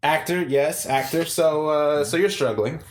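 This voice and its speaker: A man's voice: a little high, measured pace. A man hosting a casual podcast, jokey and rambling, with bursts of playful singing. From US South. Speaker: podcast host. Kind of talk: casual chat. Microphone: studio podcast mic.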